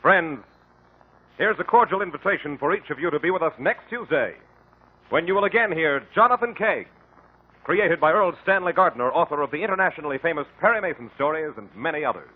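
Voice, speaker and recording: A man's voice: a little high, animated, a little fast, husky. An American man announcing from a script as the host of a 1950s radio show. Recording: muffled 1950 radio recording with music.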